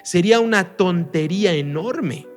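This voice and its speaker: A man with a high, very animated voice gives a religious lesson. He speaks emphatically and keeps putting rhetorical questions to his students, with faint music under him. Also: a little slow. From Mexico. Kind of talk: recorded online lesson.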